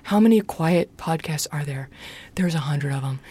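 A woman hosting a podcast in casual chat, with a deep, loud voice and a brash, animated way of talking, swearing freely. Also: normal speed, husky. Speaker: podcast host.

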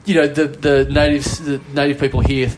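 A man's voice: a little high, little pitch movement, a little fast, background noise. A man with an Australian accent telling a long, rambling anecdote on a casual podcast, swearing freely and poking fun at himself. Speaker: podcast host. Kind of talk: casual storytelling chat.

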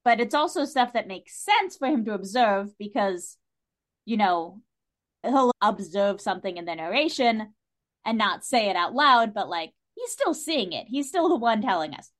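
A woman's voice: mid-range voice, very animated, moderate pace. A woman in a casual, opinionated discussion as a podcast guest, speaking with emphatic enthusiasm.

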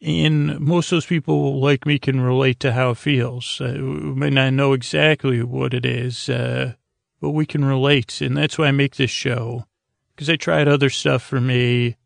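A man hosting a bedtime podcast in a rambling, halting, goofy monologue, repeating and stammering as he drifts along, with a creaky, gravelly voice. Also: mid-range voice, fairly even intonation, no echo. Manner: goofy, oddball. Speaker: podcast host.

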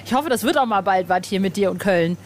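A woman's voice: mid-range voice, animated, fast talker. A woman in her late forties interviewing casually for a podcast, informal, slangy and enthusiastic. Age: late 40s. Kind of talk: casual chat. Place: outside at a street café in a city. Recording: outdoor street café noise.

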